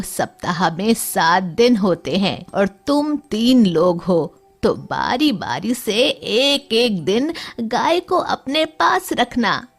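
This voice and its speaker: A woman plays an old village mother in a cartoon tale, loving yet firm. She draws her words out very slowly and instructively, her pitch rising and falling widely over music. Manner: slow, drawn-out, instructive.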